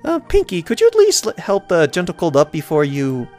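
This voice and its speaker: Man putting on a high, bouncy cheerful girl pony. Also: wildly swooping pitch, fairly quick, a little mellow, soft background music. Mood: bubbly, playful. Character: cheerful bouncy young female pony, playful and scatterbrained.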